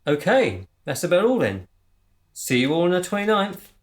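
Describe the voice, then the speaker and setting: A man's voice: high-pitched, big pitch swings, normal speed. A man playing an earnest, pompous gang leader who is easily flustered, in a radio comedy drama. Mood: pompous, businesslike. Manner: stammering and spluttering when worked up, otherwise pompous and precise.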